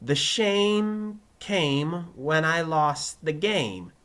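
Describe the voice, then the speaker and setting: A man performs a struggling, earnest pupil practising elocution. The delivery is very slow and laboured, every word over-enunciated in a raised voice. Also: a little high, animated, a bit bright, voice pushed up, full character voice. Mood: effortful. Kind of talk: fan fiction reading with character voices.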